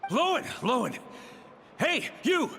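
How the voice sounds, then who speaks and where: An urgent game warrior yelling orders, creaky strained high voice, big echo. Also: male voice, big pitch swings, slow pace, full character voice. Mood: urgent, alarmed. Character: urgent fighter shouting battle orders. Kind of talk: anime game voice acting. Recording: long reverb, faint battle noise.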